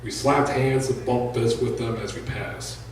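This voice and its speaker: A man reads narrative prose to a live room as the author of the story. The recording is very reverberant and picked up from a distance, with faint noise behind him. His pitch sits in an average male range. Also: fairly even intonation, unhurried. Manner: raises voice for shouted dialogue. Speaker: author reading own book. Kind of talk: live fiction reading, first-person narration. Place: indoor live reading venue.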